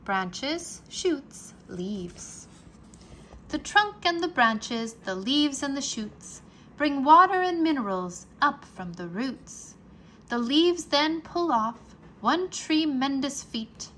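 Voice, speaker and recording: Bright, animated woman reading to children. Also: sits high, a little hiss or hum. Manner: expressive, deliberate enunciation for young listeners. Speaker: teacher reading a children's book aloud. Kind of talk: children's read-aloud narration, rhyming nonfiction.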